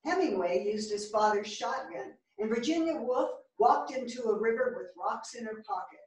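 A woman's voice: fairly high pitch, expressive, normal speed, darkish tone, lightly acted. A woman acting a bookish, thoughtful older woman in a staged theatre reading. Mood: musing. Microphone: distant camera. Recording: very echoey theatre, distant microphone.

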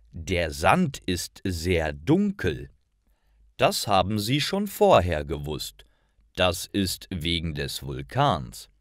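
A man reading scripted stories for language learners with careful, deliberate enunciation. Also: low voice, no echo, clean studio sound. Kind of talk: scripted story narration for learners.

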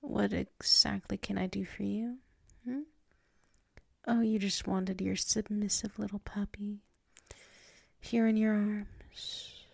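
A very slow, gravelly-edged female werewolf voiced by a woman in a close, dry ASMR roleplay. She is drowsy and tsundere, proud but submissive. Her words come out soft and halting, with flustered stammers and drifts into whispering. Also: mid-range voice, flat delivery, no room sound, heavily acted. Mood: sleepy, reluctantly affectionate.

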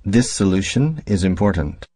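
A man with a very low, deep voice reads short phrases at an unhurried pace. Each word is carefully enunciated in a plain drill delivery. The sound is dry and close. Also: slightly rough, no echo. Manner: slow, even, careful enunciation. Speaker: English phrase reader. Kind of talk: slow read-aloud language drill.

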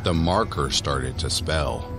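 A man giving measured, deliberate narration for a scripted horror audio drama. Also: a bass voice. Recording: voice over background music.

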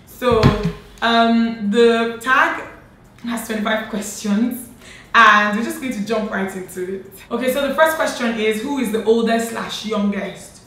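A woman in her late twenties from Nigeria, hosting a casual sister tag chat with animated, laughing talk, filmed seated at home. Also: fairly high pitch, measured pace. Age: late 20s. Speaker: beauty vlogger host. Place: bright home room, indoors. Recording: echoey room with faint noise.

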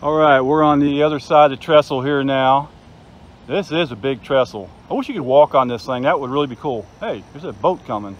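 A man's voice: mid-range voice, brisk, dull audio. Chatty man filming by a river. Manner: chatty, enthusiastic, points things out. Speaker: vlogger. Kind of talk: casual outdoor video tour. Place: outdoors by a river.

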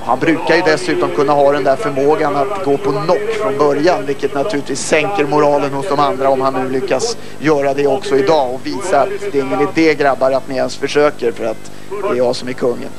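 A man with a Swedish accent giving live commentary on a ski relay in a measured way that builds to excited calls. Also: medium pitch, loud music behind the voice. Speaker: sports commentator.

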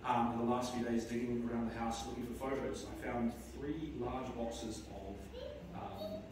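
Halting New man in an echoey church. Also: mid-range voice, steady tone, far from the mic, crowd noise. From New Zealand. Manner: halting, warm, with many restarts and fillers. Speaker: son giving a eulogy. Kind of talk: eulogy at a memorial service. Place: large church sanctuary. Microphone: far camera in the pews.

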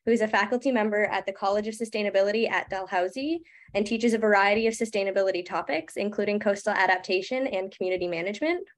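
Woman in her twenties, upbeat webinar host reading from notes on a video call, mid-pitched voice. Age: 20s. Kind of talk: scripted introduction. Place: home room.

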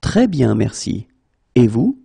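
A man's voice: mid-range voice, very animated, takes it slowly, vocal fry, mellow, slightly rough, dry recording, clean studio sound. A male native French teacher drilling phrases one at a time, repeating each and then breaking it into single words. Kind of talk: slow phrase-by-phrase language drill.

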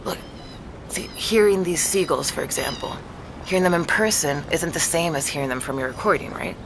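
A low voiced lady neurologist, matter of fact explainer, audio drama, noisy outdoors behind her. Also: animated. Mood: explanatory. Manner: explanatory, measured. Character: measured, matter-of-fact female neurologist, professional. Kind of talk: naturalistic audio drama dialogue.

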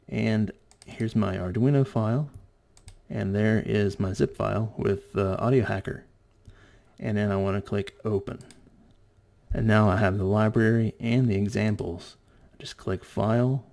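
A man with a low, mellow voice walks through an informal tech tutorial. His speech has a gravelly creak, and he explains each step in plain terms. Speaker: tutorial presenter.

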